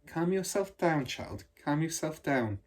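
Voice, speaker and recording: A man's voice: on the high side, animated, measured pace. A man voicing a kind, patient and cautious woman schoolteacher in a children's storybook, speaking in a careful, explanatory way. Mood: calming. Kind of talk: children's storybook read aloud.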